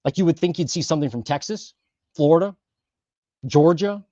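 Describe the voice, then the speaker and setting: A man hosting a casual livestream, talking through housing data with lots of fillers and quick self-corrections. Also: fairly high pitch, warm tone, no echo, muffled recording. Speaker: host of a housing market livestream.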